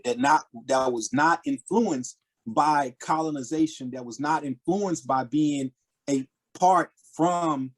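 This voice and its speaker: A man explaining his views in a casual group discussion, earnest and often restarting his sentences. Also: medium pitch. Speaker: podcast council member.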